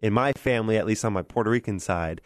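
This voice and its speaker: Relaxed joking male radio co-host. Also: fairly low pitch, quick pace, no room sound. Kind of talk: casual morning radio chat. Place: radio studio. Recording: dry studio mic.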